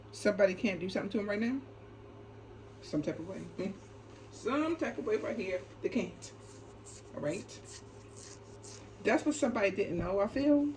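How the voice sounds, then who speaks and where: A woman's voice: medium pitch, darkish tone. A woman giving an unscripted, casual spiritual card reading, speaking emphatically and repetitively with frequent short check-in tags. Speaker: tarot reader and channel host. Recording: clearly noisy ambient background.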